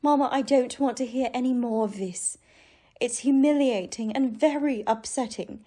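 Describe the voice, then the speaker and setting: An actress playing a gentle, dignified, heartbroken young daughter in a radio play, restrained and earnest. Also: female voice, on the high side, normal speed, lightly acted. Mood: hurt, firm.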